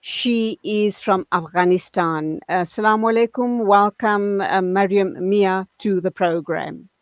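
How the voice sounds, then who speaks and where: A woman's voice: mid-range voice, measured pace, dry recording. Woman radio host, slightly dull sound. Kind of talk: live radio hosting, introducing guest.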